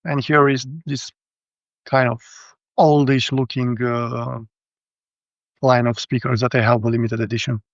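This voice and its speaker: Creaky-voiced Romanian man, halting, dull audio. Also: medium pitch, little pitch movement, no room sound. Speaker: guest, hi-fi show visitor. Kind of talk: casual technical show rundown.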